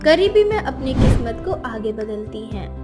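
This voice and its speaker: A woman's voice: fairly high pitch, very animated, normal speed. A woman storyteller reading scripted narration of a moral tale. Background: clear background music.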